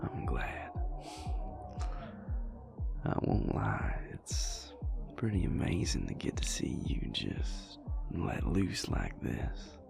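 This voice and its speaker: A man playing a dry, affectionate, stubborn vampire boyfriend in an intimate audio roleplay, speaking in a gravelly, breathy murmur with a teasing drawl. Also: very deep voice, wildly swooping pitch, slow pace, pauses often, whispered, heavily acted. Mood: amused, fond. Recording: loud music behind the voice. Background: club music.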